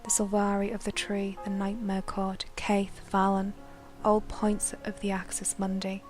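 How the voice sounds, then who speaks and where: A woman voicing an obsessed, menacing female plant-creature villain reading her journal in an ominous, deliberate, intense manner. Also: medium pitch, monotone, darkish tone, faint music behind, close to an everyday voice. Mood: ominous resolve.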